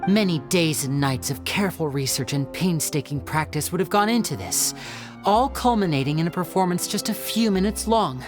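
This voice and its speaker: A woman acts out a poised lady magician from a video game, laying out secrets with theatrical care. Her voice sits a little low and moves in lively, expressive arcs. She sounds measured and confiding, with soft music underneath. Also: close to an everyday voice. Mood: assured, reflective. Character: poised female stage magician explaining tricks and clues. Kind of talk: scripted video game voice acting.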